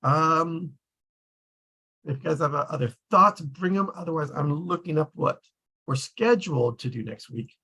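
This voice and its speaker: A man hosting a table read group, talking casually in an animated, rambling and informal way. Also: on the high side, moderate pace, husky.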